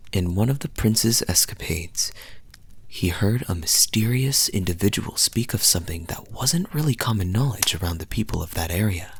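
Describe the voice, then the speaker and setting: A man playing a charming, teasing young fantasy knight in an intimate audio roleplay, telling stories to the listener in a soft, breathy voice. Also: on the low side, dry recording, heavily acted. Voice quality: soft, husky, breathy at times. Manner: smooth-talking, teasing, unhurried storyteller with dramatic pauses.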